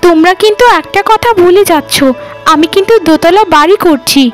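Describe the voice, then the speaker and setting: A woman's voice: extremely high pitch, fairly quick, faint music behind. A woman voicing a haughty, boastful well-off housewife in a cartoon, talking down to others in a condescending, gloating way. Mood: indignant, scolding. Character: haughty, boastful well-off village housewife, mocking poor neighbours. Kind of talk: cartoon voice acting.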